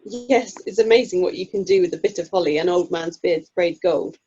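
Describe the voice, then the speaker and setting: A kindly, chatty British woman of the local drama club as played by an actress, mellow and husky. Her easygoing radio-play lines come through muffled video call sound. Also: on the low side, brisk. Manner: easygoing, complimentary. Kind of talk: amateur radio play acting.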